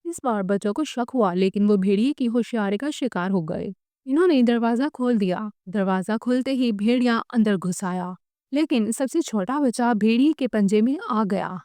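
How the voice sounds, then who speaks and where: A woman's voice: on the high side, moderate pace, dark tone, dry recording, studio quality. A woman storyteller reading a scripted tale as a narrator.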